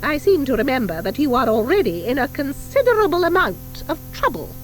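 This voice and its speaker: A woman's voice: sits high, big pitch swings, a little fast, soft background music, pitched above the performer's own voice, rough recording. A woman voicing a stern, icy schoolmistress witch in an audiobook, with a clipped, disdainful and sarcastic manner. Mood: nasty, commanding.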